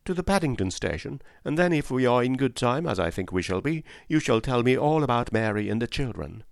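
Guy doing a genteel lady, gracious n friendly, voice way up high, storybook reading. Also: lively intonation, a little fast, gravelly, no echo, studio quality. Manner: gracious, well-mannered. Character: genteel lady, friendly former mistress of a servant.

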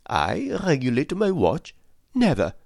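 A man reading an audiobook voices an excitable, voluble French manservant who talks fast and stubbornly. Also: fairly high pitch, gravelly, no echo, studio quality, voice pushed up, exaggerated voice. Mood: indignant.

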